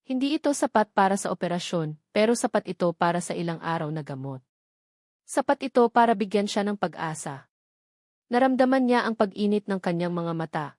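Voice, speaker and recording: A woman's voice: medium pitch, lively intonation, fairly quick, a little mellow, no echo. Woman narrating a love story, dry sound.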